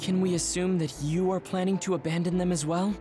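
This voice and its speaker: A woman voicing a composed, analytical questioner in an English video game dub, speaking in a measured, formal way. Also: on the low side, fairly even intonation, warm tone, faint music behind, lightly acted. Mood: probing.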